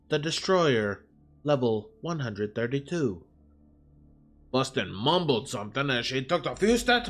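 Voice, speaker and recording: A man's voice: mid-range voice, a bit bright. A man livestreaming in casual, sweary bro slang, chatting and composing a story aloud. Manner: informal, profane, bro slang. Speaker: live-streaming writer and gamer. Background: background music.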